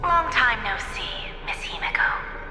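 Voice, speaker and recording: A woman's voice: high-pitched, lively intonation, measured pace, whispered. A woman voicing a poised, shrewd corporate executive in a video game, formal, suave and knowing. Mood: suave, assured. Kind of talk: video game story voice acting. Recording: echoey hall reverb, music behind.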